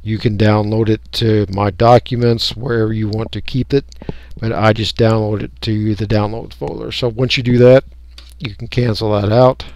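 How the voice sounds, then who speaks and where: A man talking through a software tutorial step by step in an unscripted, folksy way. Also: lowish voice, level tone, moderate pace, gravelly, no echo. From United States. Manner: unscripted, folksy, explaining each click. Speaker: radio hobbyist giving a tutorial. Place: indoors, voice-over for a screen recording.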